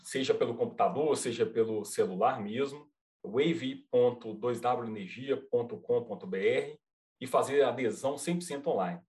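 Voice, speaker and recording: Mineiro man, podcast guest, patient reassuring explainer, mid pitch. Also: normal speed, darkish tone. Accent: Mineiro Brazilian Portuguese. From Minas Gerais, Brazil. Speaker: company representative guest. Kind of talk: podcast interview, explaining a product.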